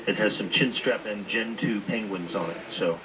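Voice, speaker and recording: A man gives a measured announcement over a ship's loudspeaker. His fairly low voice comes from far away through the speaker, with clear noise all around it. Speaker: ship announcer. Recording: distant ship loudspeaker, noisy.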